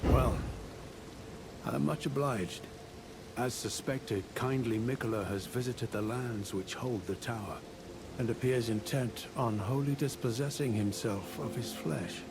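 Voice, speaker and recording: A man playing a grave, courteous, learned figure in a fantasy game, speaking formally and in measured phrases. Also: medium pitch, background noise, lightly acted. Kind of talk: dramatic fantasy game dialogue. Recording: loud rain over the voice.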